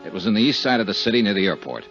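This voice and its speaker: An actor playing a cool, persistent, polite insurance investigator in a 1950s radio detective drama. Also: male voice, lowish voice, expressive, very fast, a bit bright, soft background music. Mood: matter-of-fact narration. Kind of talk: 1950s radio detective acting and first-person narration. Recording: dull 1950s radio recording.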